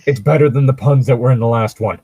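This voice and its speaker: A man's voice: mid-range voice, quick pace, warm tone, breathy edge, no echo. A man co-hosting a podcast in casual banter and mock story reading, with a wry, sweary manner and a fondness for puns. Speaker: podcast co-host.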